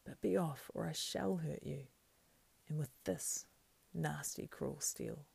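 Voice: mid-range voice, normal speed, gravelly, a little mellow, breathy edge, full character voice. A narrator voicing a loyal, hot-tempered male servant, blunt and urgent, in a storybook reading. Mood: angry, wavering.

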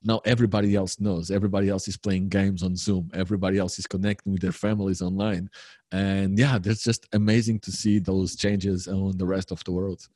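Husky-voiced man, enthusiastic guest. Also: deep voice, little pitch movement, a little fast, mellow, no room sound, studio quality. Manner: enthusiastic, rambling, repeats phrases. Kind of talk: casual online chat.

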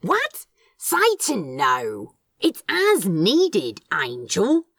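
A woman's voice: mid-range voice, huge pitch swings, very slow, creaky, a bit bright, no echo, clean studio sound, exaggerated voice. A woman voicing a scornful, menacing male demon who growls and grumbles as he scolds, in a story reading. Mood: exasperated, gruff. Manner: sneering, growling, exasperated.

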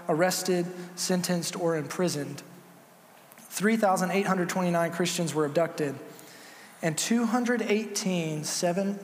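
A man preaching a sermon as pastor, earnest and conversational with some fillers. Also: on the high side. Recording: echoey hall with long reverb.

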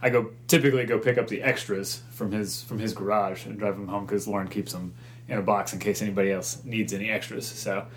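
A man in his thirties co-hosting in a relaxed, casual way, with lots of fillers. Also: fairly low pitch, brisk. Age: 30s. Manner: relaxed, uses filler words like "like" and "just". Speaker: co-host. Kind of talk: casual chat.

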